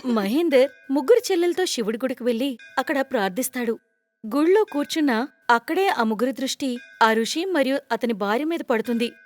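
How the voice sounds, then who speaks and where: A woman's voice: high voice, expressive, fairly quick, soft background music. A woman narrating a scripted moral story for a cartoon.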